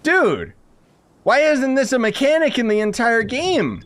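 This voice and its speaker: A man's voice: very high-pitched, big pitch swings, measured pace, fairly bright tone. A young man giving casual gameplay commentary in a rambling, slangy, often exasperated manner. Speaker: game streamer. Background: game music.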